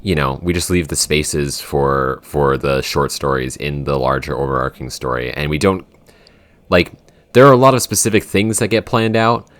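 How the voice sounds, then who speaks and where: A man's voice: a bass voice, steady tone, normal speed, a bit bright. A man, one of a podcast's creators, chatting informally and thinking aloud in loosely phrased, unscripted answers. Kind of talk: casual unscripted behind-the-scenes chat.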